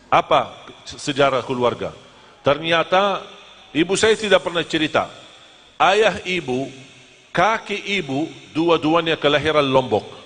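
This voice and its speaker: A man preaching, his voice sitting a little high for a man. He jokes and asks his listeners questions. His words ring out with a long reverb in a large hall. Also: fairly bright tone. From Medina, Saudi Arabia. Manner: humorous, engaging, asks the audience questions. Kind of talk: sermon to a live audience.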